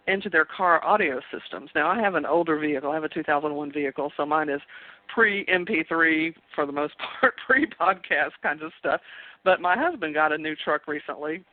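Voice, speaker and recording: A woman's voice: sits low, dry recording. Friendly woman guest on a dry phone line. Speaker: interview guest, author. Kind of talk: interview radio chat.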